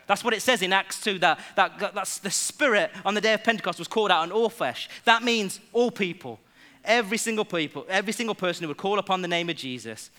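A young man preaches with a high, bright voice. He keeps the sermon lively and jokes with the congregation. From England.

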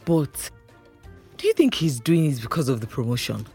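A woman's voice: extremely low pitch, very animated, moderate pace, darkish tone, slightly rough, faint music behind, full character voice. A woman with a Nigerian accent playing a pushy, teasing young friend in an audio drama. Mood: curious, probing. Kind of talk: naturalistic audio drama acting.

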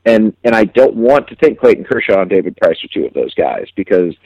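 A man giving casual sports analysis as a guest, reflective and halting, repeating words as he thinks. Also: lowish voice, very animated, no room sound, dull audio.

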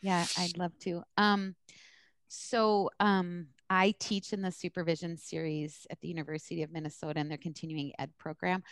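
A woman's voice: lowish voice, no echo. A woman from the US East Coast speaking bluntly and candidly in an interview, with emphatic, conversational answers like an instructor explaining her work. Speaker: social work supervision instructor.

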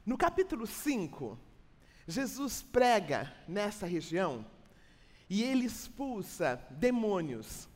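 A woman's voice: medium pitch, very animated, takes it slowly, a little mellow. A woman with a Brazilian Portuguese accent preaching an expository church sermon in a colloquial, teacherly way, repeating phrases for emphasis. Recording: hall with long reverb.

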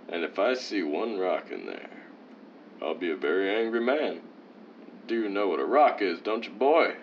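A man's voice: low voice, animated, measured pace, long pauses. An actor playing a vain, condescending leading man of old-time radio, pompous and theatrical. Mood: jovial, condescending. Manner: pompous, theatrical, swooping emphasis. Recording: dull lo-fi sound, faint noise.

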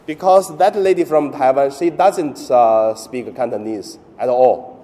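A man from mainland China preaches in English with a Chinese accent, his phrasing halting and broken up by fillers. His voice is mellow and unhurried, and it rings with a long church reverb. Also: medium pitch. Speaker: priest. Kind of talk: Sunday homily.

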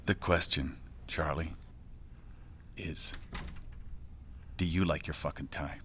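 A man's voice: rumbling low, fairly even intonation, slow, a bit bright, slight background noise, heavily acted. An actor playing a wry, guarded male government staffer under pressure in a satirical audio drama, with a dry, deflecting, sardonic manner. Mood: deadpan.

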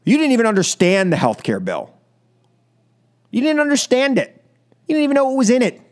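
A man's voice: very high-pitched, wildly swooping pitch, a little fast. A man with an American accent hosting political talk radio, speaking emphatically and scoldingly in short, repeated phrases. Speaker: radio talk show host. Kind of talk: political opinion monologue on call-in radio.

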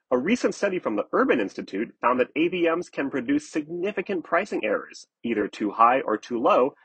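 A man narrating a scripted news article in a measured, explanatory way. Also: mid-range voice, fairly bright tone, husky, no room sound, low-quality recording.

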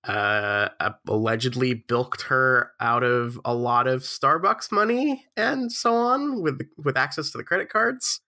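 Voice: medium pitch, animated, a little slow, few pauses, sharp tone. A podcast host chatting casually while still congested from the flu, rambling with lots of fillers and restarts. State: stuffy, congested from a cold.

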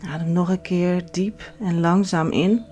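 A woman leading a guided relaxation as a yoga instructor, giving soothing, measured instructions with pauses. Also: lowish voice, steady tone, a little mellow, dull audio, slight background noise. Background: background music.

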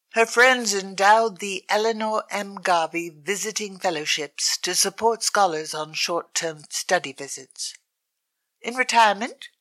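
A woman reading a written obituary aloud in a measured, even way. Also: fairly low pitch. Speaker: reader.

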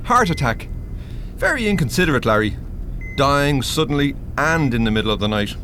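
A droll Irish male spirit in a radio play. His lilt is lively and his manner matter-of-fact and wry, over clearly audible background ambience. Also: mid-range voice, normal speed, slight background noise. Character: wry, unhurried male spirit guiding the newly dead. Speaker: radio drama actor.

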